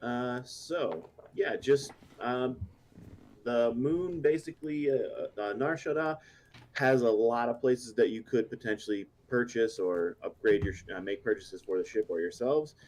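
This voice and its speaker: A man narrating as game master, hesitant and full of pauses while he checks his notes. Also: medium pitch, a little mellow, slight background noise. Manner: halting, many fillers while reading up.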